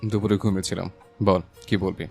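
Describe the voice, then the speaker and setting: A drowsy young man, acted by a male performer, speaks low and gravelly in an audio story. His replies are terse and monotone. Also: brisk, faint music behind. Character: sleepy, terse young man just woken up. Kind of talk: romantic audio story acting.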